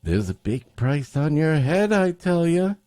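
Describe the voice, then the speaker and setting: A man's voice: fairly high pitch, sing-song, a little slow, mellow, no echo, studio quality, soft background music, pitched above the performer's own voice. A man puts on a furtive crook of a dog from a storybook crime tale. His urgent warnings come in a raised, swooping voice.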